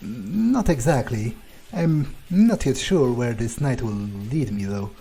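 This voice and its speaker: A man's voice: medium pitch, animated, slow, creaky, mellow, slightly rough. A man role-playing a laid-back, wry male vampire in a game playthrough, thinking aloud in a casual, hesitant, sweary way. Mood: nonchalant. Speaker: gamer role-playing his character. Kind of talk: in-character game playthrough commentary.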